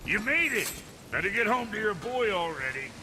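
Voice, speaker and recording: Casting: crude little dwarf tinkerer for a game, high-pitched, swooping delivery, folksy grammar, big reverb. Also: male voice, sing-song, moderate pace, heavy background noise. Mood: excited. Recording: long reverb, music behind.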